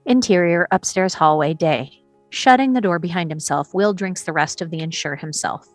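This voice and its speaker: Lower-voiced woman reading screenplay directions. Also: normal speed, no echo, soft background music. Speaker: stage directions reader. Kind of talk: scripted narration of screenplay action.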